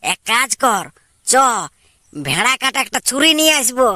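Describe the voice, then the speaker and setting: A man's voice: very high voice, very animated, normal speed, bright, forceful tone, dry recording, studio quality, exaggerated voice. A man with a Purulia Bengali accent voicing a cocky, scheming young secret lover in an amateur cartoon, with an insistent, plotting manner.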